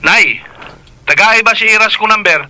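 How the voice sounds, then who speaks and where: A man's voice: high-pitched, normal speed, over music, close to an everyday voice. An actor with a Filipino Cebuano accent playing a lovesick grown son, earnest and insistent with his mother in a radio drama. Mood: eager.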